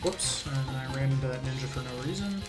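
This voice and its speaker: A man's voice: mid-range voice, a little fast. A man giving casual live commentary as he speedruns a game, rambling and thinking aloud. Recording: game music behind the voice. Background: game music.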